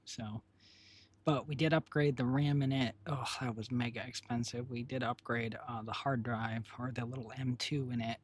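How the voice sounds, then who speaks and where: A man with a raspy, husky voice, hoarse today, chatting casually on a live stream. He rambles along with lots of fillers and restarts, at a mid pitch. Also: steady tone, normal speed. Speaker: streamer and developer.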